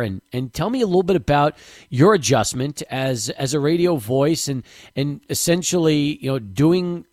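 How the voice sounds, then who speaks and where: A man's voice: on the high side, darkish tone, dry recording, clean studio sound. A man hosting a sports talk show, asking a guest questions in a conversational, filler-laden way. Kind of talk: radio interview.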